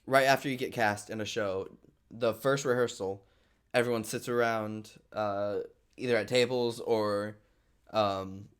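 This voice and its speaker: Clear-voiced man hosting a casual livestream. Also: on the low side, steady tone, measured pace. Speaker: host of an online play table read. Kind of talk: casual livestream chat, explaining to viewers. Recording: online group call livestream.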